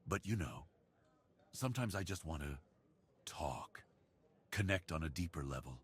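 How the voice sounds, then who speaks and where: A man plays a brooding werewolf with an extremely deep, heavily breathy, husky voice. He speaks slowly and intimately, as a lonely beast confiding his longing in an ASMR roleplay. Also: lively intonation, fairly bright tone, exaggerated voice. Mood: brooding, wistful.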